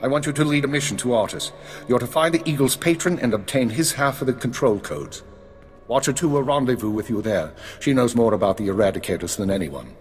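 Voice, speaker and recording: A man's voice: mid-range voice, fairly quick, darkish tone, soft background music, close to an everyday voice. An actor voicing a stern middle-aged male spymaster who briefs an agent in a clipped, commanding tone, in a video game cutscene. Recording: long hall reverb.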